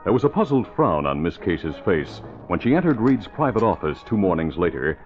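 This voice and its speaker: A man's voice: deep voice, sing-song, a little fast, a little mellow. A man narrating an old-time radio serial in a formal, dramatic announcer style. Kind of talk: scripted 1940s radio narration. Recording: dull old radio broadcast. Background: music.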